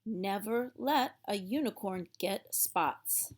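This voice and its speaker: Female children's read-aloud narrator, unhurried and theatrical, mid-range voice, stretches key words for emphasis. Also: mid-range voice. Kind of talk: expressive storybook narration for young children.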